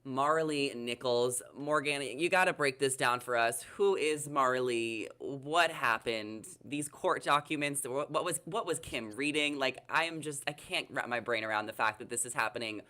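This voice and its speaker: A man's voice: medium pitch, moderate pace, hardly any pauses, bright, forceful tone. A man hosting an entertainment recap show in a chatty style, leading the discussion and handing over to panelists.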